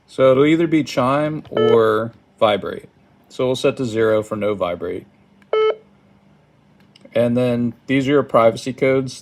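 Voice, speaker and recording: A man giving a casual step-by-step how-to, halting and thinking aloud, recorded indoors at a tabletop. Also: medium pitch. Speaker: product tutorial presenter. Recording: clearly noisy home recording, button clicks.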